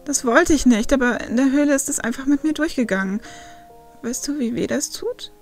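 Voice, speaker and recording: A woman voicing a frightened, guilt-ridden young male werewolf in a story, halting and pleading. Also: medium pitch, level tone, lightly acted. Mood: anguished, grieving. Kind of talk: acted audiobook dialogue. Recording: faint music behind the voice.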